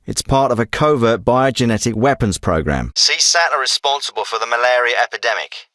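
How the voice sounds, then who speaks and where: Commanding special forces leader, video game, clipped briefing style, lively pitch, dry close sound. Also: male voice, a little fast, no room sound. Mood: brisk, serious. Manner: clipped, businesslike military briefing delivery. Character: commanding male special forces team leader, terse and focused. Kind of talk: scripted military radio dialogue.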